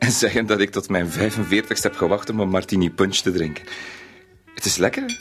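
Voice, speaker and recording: A man plays a respectable, naive husband of forty-five loosened by drink in a radio drama. He speaks fast in a fairly low voice, with music playing behind him. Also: close to an everyday voice. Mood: tipsy, protesting. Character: earnest, naive married man of forty-five, getting tipsy. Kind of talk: Dutch-language radio play acting.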